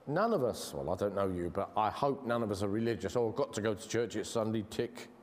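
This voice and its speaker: A man with an English accent preaching a sermon in a church, earnest and conversational, slowing down to stress key words. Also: fairly low pitch, lively intonation. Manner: earnest, conversational, with frequent restarts and slow emphasis. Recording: long hall reverb.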